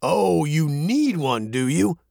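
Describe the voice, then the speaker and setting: A man's voice: a little high, big pitch swings, takes it slowly, creaky, darkish tone, no room sound, clean studio sound, pitched above the performer's own voice, exaggerated voice. A man voicing a protective captain in a storybook, a stern but caring father with a firm, commanding manner. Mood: storytelling. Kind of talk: storybook character voice.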